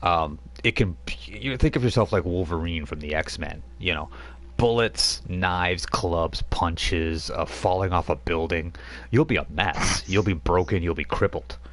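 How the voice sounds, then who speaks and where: Deep-voiced man running a tabletop game. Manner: steady, explanatory, halting with fillers. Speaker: game master.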